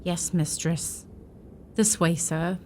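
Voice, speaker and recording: A woman's voice: fairly low pitch, fairly even intonation, takes it slowly. An actress playing an elderly servant woman in a radio drama, deferential, grave and matter-of-fact. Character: long-serving elderly female housekeeper, deferential, grave. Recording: faint background noise.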